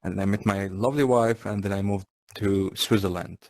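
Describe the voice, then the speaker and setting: A young man with a Syrian Arabic accent, an Arabic speaker, talking about himself in a relaxed, casual way with lots of "you know.". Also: sits low, normal speed, no room sound. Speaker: guest. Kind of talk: casual conversation in VR.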